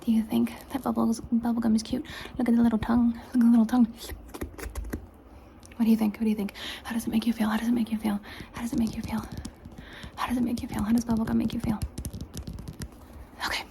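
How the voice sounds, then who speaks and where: A woman whispers through an ASMR session. Her voice sits a little high, with a grainy edge of fry, and she repeats each question slowly. A steady hiss and small clicks sit under her voice at the close mic. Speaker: ASMR creator. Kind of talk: ASMR roleplay. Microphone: close camera mic.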